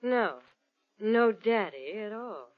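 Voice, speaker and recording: A woman's voice: mid-range voice, expressive, slow pace. An actress playing a nervous, glamorous young woman in trouble in old-time radio style, breathless, guarded and haughty. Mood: evasive. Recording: muffled lo-fi old radio recording.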